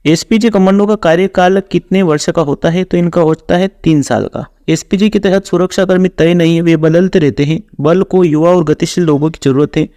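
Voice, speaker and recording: Male exam coach, higher-pitched voice, instructional question-and-answer delivery, very dry recording. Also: warm tone, no echo. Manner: instructional, repeats answers and stock transition phrases. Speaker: exam coach, online teacher. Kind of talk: reading out quiz questions and explaining answers.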